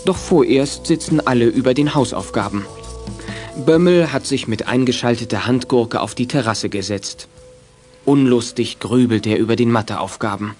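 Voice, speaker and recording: An actor serving as narrator, reading scripted narration for a German children's radio play. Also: male voice, medium pitch, moderate pace, a little mellow, soft background music, lightly acted. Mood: composed. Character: storytelling narrator.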